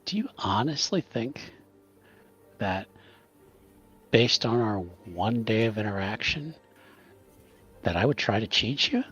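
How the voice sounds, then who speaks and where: A man's voice: fairly low pitch, animated, slow pace, long pauses, fairly bright tone, breathy edge, soft background music, exaggerated voice. A man playing an unassuming, shrewd, dryly patient character with an understated manner, in an improvised tabletop game on muffled audio. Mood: dry, wry.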